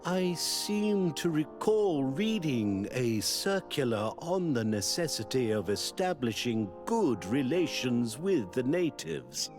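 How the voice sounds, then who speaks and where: A man playing an officious, self-important government minister in a video game, deliberate and smoothly obsequious. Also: medium pitch. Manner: pompous, bureaucratic, deliberate. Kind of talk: video game dialogue acting.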